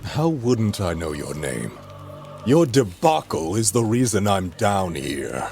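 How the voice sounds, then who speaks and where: A man's voice: sits low, very animated, takes it slowly, gravelly, a little mellow, faint music behind, heavily acted. An actor playing an ominous, taunting, accusing man who pronounces doom in a video game cutscene. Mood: menacing, accusing. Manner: accusing, mocking, portentous.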